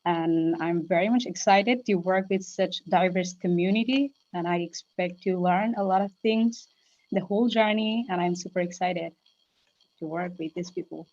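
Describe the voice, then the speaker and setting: Excited Bangladeshi woman delegate, dull call sound. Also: lowish voice, normal speed. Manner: excited, simple phrasing. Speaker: program delegate. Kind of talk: self-introduction on video call. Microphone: video call. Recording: dull video call audio.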